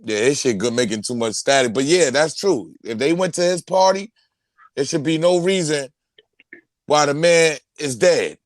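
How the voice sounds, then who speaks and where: Man, live stream host, high-ish voice, dry sound, casual chat, keeps saying salute. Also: normal speed, no room sound. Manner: keeps affirming with "salute" and "facts".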